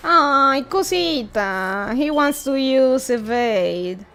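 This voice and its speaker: A woman's voice: sits high, expressive, extremely slow, a bit bright, slight background noise. A woman streaming a game, casually commenting and muttering playful reactions to herself. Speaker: streamer reading a game story aloud. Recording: music behind the voice. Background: faint background music.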